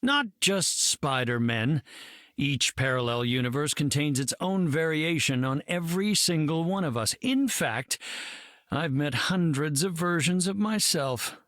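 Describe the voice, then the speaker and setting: An actor playing an earnest, self-assured scientist hero in a video game, articulate and formal. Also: male voice, fairly high pitch, expressive, measured pace, fairly bright tone, no room sound, clean studio sound. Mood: pedantic. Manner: articulate, formal, matter-of-fact.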